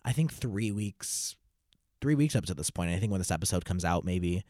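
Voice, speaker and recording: A nineteen-year-old man hosting his own podcast, talking alone in an animated, theatrical way with sudden loud bursts of play-acting. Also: low voice, normal speed, dry recording, clean studio sound. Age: 19, nearly 20. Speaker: podcast host. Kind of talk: solo podcast monologue.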